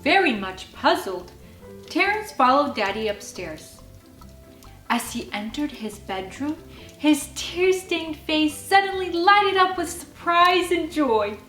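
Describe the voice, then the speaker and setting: A woman reading a children's bedtime story as an expressive narrator. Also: sits high, slow. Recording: roomy sound with faint music behind.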